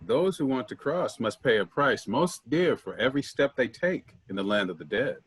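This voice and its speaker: Guy playing grave husky ferryman of the dead, slow storyteller style, stage play reading. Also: mid-range voice, sing-song. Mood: solemn, ominous. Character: solemn male boatman ferrying souls of the dead.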